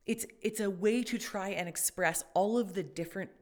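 A woman's voice: medium pitch, normal speed, darkish tone. A woman co-hosting a faith podcast, talking casually and thoughtfully, with many fillers and restarts. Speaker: podcast co-host.

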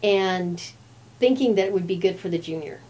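Chatty woman with a low voice. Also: moderate pace, a little mellow. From Brazil. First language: Portuguese. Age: 50s. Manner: chatty, laughs often. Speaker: guest. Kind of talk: casual chat.

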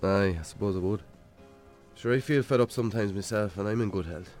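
An actor playing a weary, put-upon Irish bachelor farmer in a naturalistic radio drama, with a dry, sardonic manner. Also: male voice, lowish voice, fairly quick, darkish tone, faint music behind, close to an everyday voice. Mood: wry, friendly. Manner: wry, sardonic, grudging.

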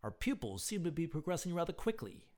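A suave, ceremonious leader of a secret society , played by a male storyteller speaks with a slight French accent. His pitch sits raised, and his phrasing is formal and courteous, as in a theatrical storybook tale. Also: sing-song, fast talker, a little mellow. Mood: formal, pleased. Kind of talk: theatrical storybook narration dialogue.

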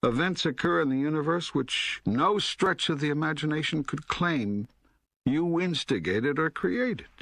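A man voicing God as a calm, authoritative teacher in an audiobook, raspy, deliberate and solemn. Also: on the high side, dry recording, heavily acted. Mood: solemn, explaining. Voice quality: husky, raspy. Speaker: audiobook narrator. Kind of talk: scripted audiobook dialogue reading.

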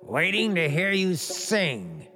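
A man playing a sly, menacing brother-in-law in a radio play, deliberate and taunting, with a creaky voice. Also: sits high, sing-song, sharp tone, exaggerated voice. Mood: sly menace. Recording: music behind the voice.

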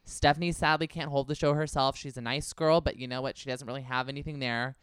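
A man's voice: medium pitch, fairly even intonation, fast talker, a bit bright, clear, resonant tone, dry recording, studio quality. A man co-hosting a podcast, giving gossipy, analytical takes in casual chat. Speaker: podcast co-host. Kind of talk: casual chat about reality TV.

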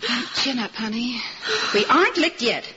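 A woman's voice: fairly high pitch, lively intonation, moderate pace, close to an everyday voice. An actress playing a plucky, motherly married woman in an old-time radio drama. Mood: determined. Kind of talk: 1950s radio drama acting. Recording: hissy, muffled old radio recording.

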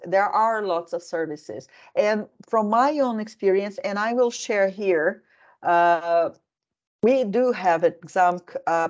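A woman's voice: fairly low pitch, darkish tone, lo-fi sound. A woman hosting a casual discussion, chatty and digressive, with many fillers, from Brazil. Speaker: host.

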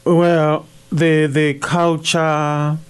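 A middle-aged priest from Zimbabwe whose first language is Shona speaks in a fairly high voice for a man. He answers in a thoughtful, halting way, full of uhs and restarts. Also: flat delivery, clean tone. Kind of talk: radio interview answers.

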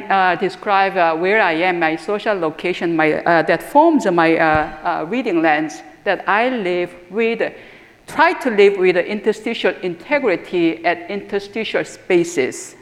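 A middle-aged woman with a Korean accent and a lowish voice speaks earnestly, often stopping to search for words with "uh". Her talk rings out with long reverb, as in a large hall. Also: expressive. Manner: earnest, reflective, pauses often with "uh". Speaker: theological school dean. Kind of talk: personal talk or lecture.

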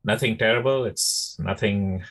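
A man with a notably husky, lowish voice takes part in a casual review chat. He weighs his points in a measured, slightly halting manner. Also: a bit bright. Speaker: podcast host.

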